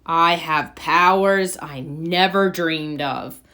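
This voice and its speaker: A woman's voice: deep voice, slow pace, sharp tone, full character voice. A woman voicing a taunting, boastful male goblin villain in a children's storybook, emphatic and declamatory. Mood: scornful. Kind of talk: children's storybook read-aloud.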